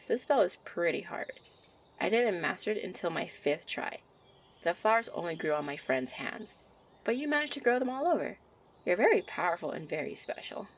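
A woman voicing a shy, gentle young nature fairy who teaches affectionately in a soft ASMR roleplay. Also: medium pitch. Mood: warmly praising. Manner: soft, encouraging, affectionate, giggly. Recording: birdsong and outdoor ambience behind. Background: birdsong ambience.

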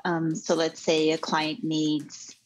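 A woman's voice: low voice, completely flat delivery, takes it slowly, a little hiss or hum, rough recording. A woman, explaining things casually as a guest on a video call. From Brazil. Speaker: podcast guest, illustrator. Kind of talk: casual explanatory interview answers. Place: indoor room, video call.

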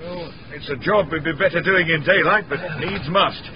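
An actor playing a methodical, courteous detective inspector with quiet authority in a radio drama. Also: male voice, fairly high pitch, moderate pace, a crowd in the background, lightly acted. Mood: brisk, measured. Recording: dull, noisy radio drama recording.

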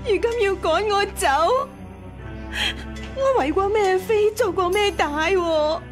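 Radio play defiant young concubine woman, very high voice, dramatic and plaintive. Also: takes it slowly, soft background music. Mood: tearful, indignant. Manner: dramatic, plaintive, sharp-tongued.

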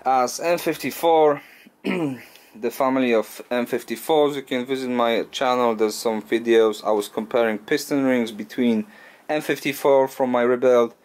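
A man's voice: medium pitch, a little mellow. Hesitant man talking through a garage job. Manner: halting, thinking aloud with frequent uh and restarts. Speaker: DIY car mechanic channel host. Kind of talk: casual workshop vlog. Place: small home garage workshop.

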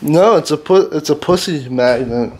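A man's voice: a little high, lively intonation, moderate pace, a little mellow, slight background noise. A young man in his twenties vlogging casually to a handheld camera, loose and joking, swearing now and then. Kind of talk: casual vlog chat. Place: inside a car, then outdoors and at home. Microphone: handheld camera close up.

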